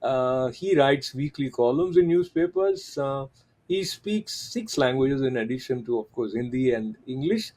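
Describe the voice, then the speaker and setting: A middle-aged man hosts a television interview. His voice is warm and mellow, at an average pitch for a man. He speaks in measured phrases with frequent filler pauses. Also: sing-song. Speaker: TV interview host.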